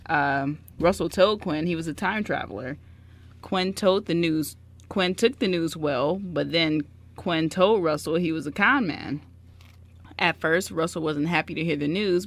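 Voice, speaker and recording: A woman on a radio show reading a story synopsis aloud, stumbling now and then and correcting herself. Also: sits low. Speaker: radio show cast member.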